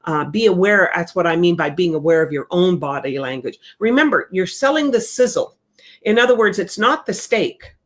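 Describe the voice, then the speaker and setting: A sales trainer talks listeners through client skills in an easygoing, conversational way. The delivery is coaching and unhurried, circling back to the main points again and again. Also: medium pitch. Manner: relaxed, coaching, repeats key points. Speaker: real estate sales trainer.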